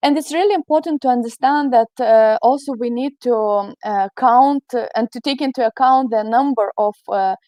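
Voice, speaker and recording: A woman's voice: fairly high pitch, normal speed, hardly any pauses, warm tone, no room sound. A woman with a Lithuanian accent giving a conference talk as a university lecturer, hesitant and full of fillers and restarts. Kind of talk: conference presentation.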